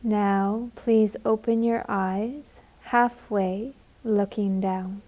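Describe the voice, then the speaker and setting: A woman leading a guided meditation in a gentle, soothing manner, leaving long unhurried pauses. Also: medium pitch, fairly even intonation, muffled recording.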